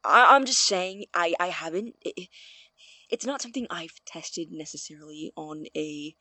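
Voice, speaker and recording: Someone acting a husky, stammering, obsessed young scientist. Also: medium pitch, expressive, pushed and bright, no room sound. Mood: hesitant. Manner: halting, stammering, earnest. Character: nervous, defensive, obsessed male college student scientist. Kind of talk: audio drama acting.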